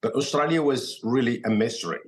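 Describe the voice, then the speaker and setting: A man from Morocco with a Moroccan accent and Arabic as his first language, telling his story as a podcast guest in halting, filler-filled English. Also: medium pitch. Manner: halting non-native English, many fillers and restarts. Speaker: guest chef. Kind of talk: casual podcast interview.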